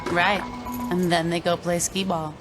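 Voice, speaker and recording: An actress playing a laid-back, wisecracking young gang sidekick with a casual, teasing manner in a game. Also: female voice, fairly low pitch, level tone, unhurried, lightly acted. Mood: teasing, easygoing. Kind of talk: video game cutscene acting. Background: clear music.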